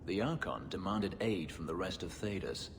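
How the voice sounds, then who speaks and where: A man voicing a brooding, bitter warrior in a video game, speaking in a measured, grave, dryly sardonic way. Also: low voice, animated, vocal fry, big-room sound, noisy background. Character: brooding, bitter male warrior from a slave-keeping empire.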